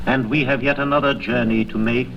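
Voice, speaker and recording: An actor playing a solemn, relentless spirit guide in a 1930s radio drama, grave and deliberate, on a dull old broadcast. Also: male voice, medium pitch, fairly even intonation, gravelly, very noisy. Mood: solemn, accusing.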